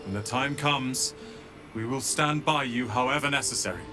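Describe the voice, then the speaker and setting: A young man playing an earnest, resolute wizard's apprentice with a wounded past in a dramatic video game, speaking in a measured, emotional way. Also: medium pitch, music in the background, natural voice. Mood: resolute, grateful.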